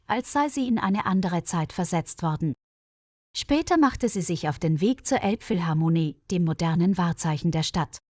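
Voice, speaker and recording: A woman's voice: fairly low pitch, a little mellow, dry recording. Woman narrator, dry close studio sound. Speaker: narrator of German-learning stories. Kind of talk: scripted narration of a learner's audio story.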